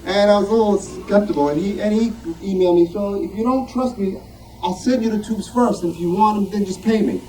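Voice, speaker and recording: A man's voice: high voice, a little fast, mellow. A man speaking informally to a club at show and tell, rambling and self-deprecating in a conversational way. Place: club meeting room. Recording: distant mic, noisy room.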